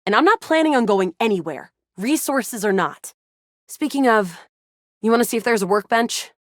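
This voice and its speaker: A woman plays a feisty video game heroine with a sardonic, slangy edge and a loyal streak. Her delivery swings freely in pitch, and the sound is close and dry. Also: lively intonation, moderate pace, no room sound, close to an everyday voice. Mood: wistful, determined. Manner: casual, wry, slangy. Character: feisty, sardonic sci-fi gamer heroine, loyal but guarded. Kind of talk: video game character acting.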